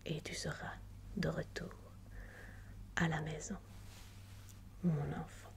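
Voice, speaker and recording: A woman playing a tender maternal figure in an ASMR roleplay, speaking in a hushed, breathy whisper. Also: sits low, flat delivery, full character voice. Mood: tender, soothing. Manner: slow, soothing, hushed. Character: tender maternal woman watching over a sleeping child.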